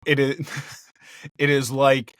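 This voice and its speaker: A male voice actor chatting in an interview. He is cheerful and excitable, lifts his voice into a higher register with a gravelly undertone, and laughs often. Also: drawn-out words, dry recording, clean studio sound. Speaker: voice actor guest. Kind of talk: casual interview chat. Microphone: headphones and a home recording mic.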